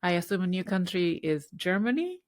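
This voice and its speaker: A woman's voice: fairly low pitch, very animated, a little fast, no room sound, studio quality. Hesitant woman host. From Japan. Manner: rambling, hesitant, many fillers. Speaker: podcast host. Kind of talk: casual interview.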